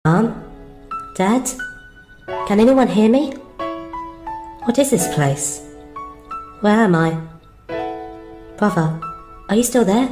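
A woman's voice: mid-range voice, animated, takes it slowly, long pauses. A woman voicing a frightened, hurt little boy, timid and pleading, as game drama. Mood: scared, pleading. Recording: music behind the voice.

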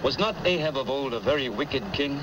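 A man's voice: mid-range voice, lively intonation, brisk, natural voice. Man acting a hesitant young seaman, noisy recording. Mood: uneasy. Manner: hesitant, polite. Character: hesitant, earnest young would-be whaleman. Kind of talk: vintage film drama acting.

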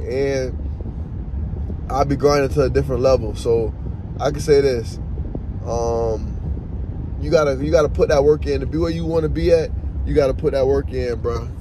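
A young man gives a laid-back, repetitive pep talk while riding in a car. He talks into a phone held low at arm's length. Clear road and engine noise runs under his mid-pitched voice. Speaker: vlogger. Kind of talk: casual motivational vlog. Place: inside a car.

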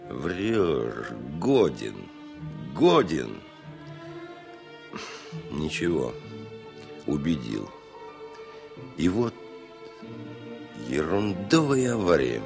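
Very deep-voiced, stubborn veteran pilot. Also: male voice, wildly swooping pitch, gravelly, over music, exaggerated voice. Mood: defiant, resolute. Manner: deliberate, musing, self-talking. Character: seasoned, stubborn veteran airline pilot steadying himself. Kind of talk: 1970 radio play monologue.

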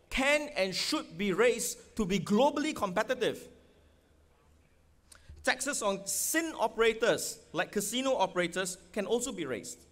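A middle-aged man with a Singaporean accent, his voice pitched high for a man, speaks forcefully into a podium microphone on an outdoor stadium stage. He throws rhetorical questions at the crowd and hammers his points home as a political candidate. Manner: rhetorical questions, emphatic, gesturing. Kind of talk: election rally speech.

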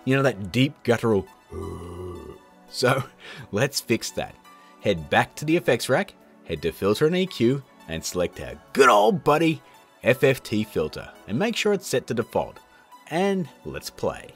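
A man in his thirties hosts a tutorial with a lot of swing in his pitch. He is chatty and jokey, keeping it informal, with music playing underneath. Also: very animated, normal speed. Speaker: host of an effects tutorial channel. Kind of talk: casual tutorial presenting.

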